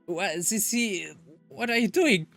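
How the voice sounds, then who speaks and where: A man's voice: sits high, slow pace, creaky, a bit bright, faint music behind, voice pushed up, heavily acted. A man voicing an earnest, easily flustered young male protagonist of a visual novel.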